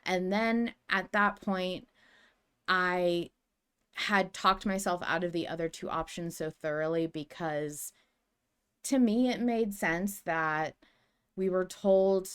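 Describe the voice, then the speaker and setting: A woman's voice: fairly low pitch, clear, resonant tone. A woman co-hosting a podcast in casual discussion, hesitant and self-deprecating as she talks. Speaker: podcast co-host.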